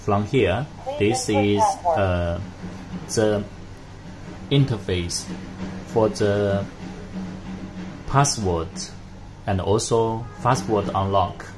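A man narrating a hands-on product tutorial in a halting, step-by-step way. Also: lowish voice, over music, rough recording. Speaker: product demonstrator. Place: indoors at a desk with devices. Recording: noticeable background noise.

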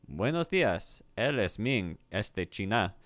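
A man's voice: lowish voice, very animated, slow, a bit bright, dry recording. A man streaming a language app, repeating the sentences aloud and correcting himself with small laughs. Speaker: streamer learning Spanish. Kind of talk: casual commentary while playing a language app.

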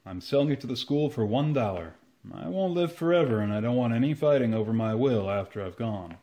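A man's voice: medium pitch, lively intonation, a little fast, mellow, close to an everyday voice. A man playing a plainspoken, matter-of-fact older landowner in an old-time style radio comedy. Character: plainspoken aging male landowner.

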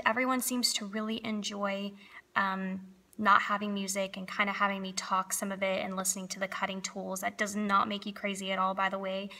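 A woman's voice: medium pitch, creaky, sharp tone. A young woman with a slightly froggy voice, chatting upbeat as she narrates her planner decorating. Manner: chatty, upbeat, lots of fillers. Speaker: planner crafting vlogger. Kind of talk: casual voice-over plan with me.

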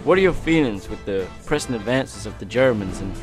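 An actor playing a polite, earnest young American newspaper correspondent in a wartime radio drama. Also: male voice, fairly low pitch, lively intonation, fairly quick, close to an everyday voice. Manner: polite, hesitant. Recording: faint music behind the voice.

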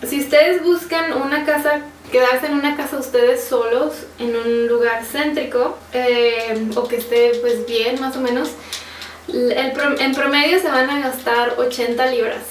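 A woman's voice: high voice, steady tone, unhurried. A young woman with a Mexican accent, casually chatting and laughing often as she answers viewer questions on a sofa at home. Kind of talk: casual Q&A chat. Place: living room, on a sofa. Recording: roomy, slightly distant, faint hiss.

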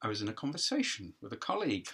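A man's voice: mid-range voice, huge pitch swings, very fast, vocal fry, fairly bright tone, husky, voice pushed down, exaggerated voice. An older man voicing a defiant, wounded defendant in a stage play, earnest, precise and protesting. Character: defiant, wounded male defendant, an academic, at hearing.

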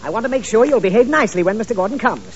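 A man's voice: high-pitched, animated, racing. A man playing a flustered, exasperated father in a 1930s radio comedy, fussy and stammering, anxious to please. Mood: fussy, instructing. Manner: fussy, stammering, easily irritated. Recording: dull, noisy 1930s radio recording.

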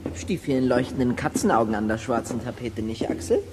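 A male actor plays a curious, wordy boy from a children's radio play. He is polite and medium in pitch, with clear noise behind him. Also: lively intonation, brisk, big-room sound, close to an everyday voice.

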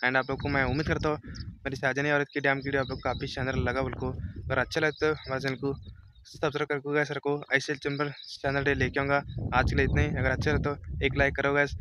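A young man touring a dam, talking fast and repeating himself as he films himself outdoors with a phone held at arm's length. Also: mid-range voice, flat delivery, background noise. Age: young adult. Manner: hurried, repetitive, keeps urging viewers along. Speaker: travel vlogger. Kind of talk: casual selfie vlog tour. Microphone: phone camera at arm's length.

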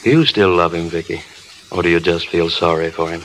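Kindly, low-voiced studio boss. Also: male voice, fairly even intonation, fairly quick. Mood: gentle. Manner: gentle, concerned. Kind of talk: 1930s radio acting. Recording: old hissy radio broadcast.

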